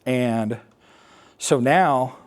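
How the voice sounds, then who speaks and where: An American man giving a personal talk, recounting his experience as an air traffic controller in a halting, explanatory way with pauses. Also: mid-range voice. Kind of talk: personal storytelling talk to an assembly.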